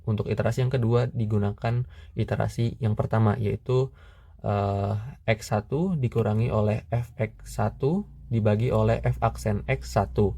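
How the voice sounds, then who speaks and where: A young man with a low, mellow voice walks through spreadsheet calculations step by step. He speaks steadily and methodically, often pausing on "eh" while he works. The sound is dry and close, with no room around it. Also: gravelly, breathy edge, dry recording. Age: young adult. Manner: steady, methodical, pauses with "eh" fillers. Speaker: student tutor. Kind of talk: screen-recorded math tutorial.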